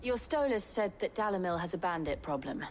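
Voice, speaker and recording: Low-toned, composed noblewoman played by an actress. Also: animated, a little fast, a little hiss or hum, close to an everyday voice. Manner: polite, quietly firm. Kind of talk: dramatic video game acting.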